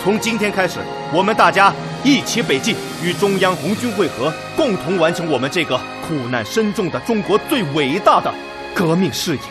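An actor playing a decisive Red Army commander in a Chinese radio drama, chairing a war council in measured, rallying speeches. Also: male voice, high voice, expressive, over loud music. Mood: resolute.

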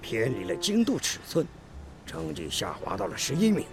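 A man acting a middle-aged factory team leader in a radio drama, gruff but caring, with a commanding, hearty, emphatic delivery. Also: mid-range voice, huge pitch swings, moderate pace, over music. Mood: earnest.

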